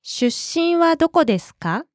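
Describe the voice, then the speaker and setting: A woman modeling short phrases for a language lesson, crisp and deliberate. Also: very high-pitched, big pitch swings, no room sound, studio quality. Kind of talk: slow, careful phrase modeling.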